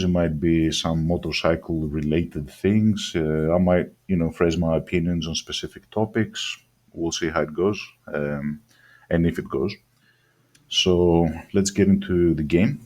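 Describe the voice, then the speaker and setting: Low husky man with a mixed accent. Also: darkish tone. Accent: mixed, partly Greek-sounding. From Australia. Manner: rambling, hesitant, many ums and restarts. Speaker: gaming channel host. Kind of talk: casual game review talk.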